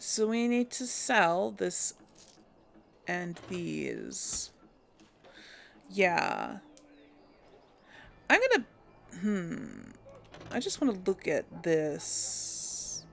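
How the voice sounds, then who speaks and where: A woman with a creaky voice giving casual, easygoing game commentary, musing to herself as she plays. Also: lowish voice, huge pitch swings, very slow, long pauses, fairly bright tone. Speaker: let's play host. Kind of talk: casual game commentary with light role play. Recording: faint background game ambience.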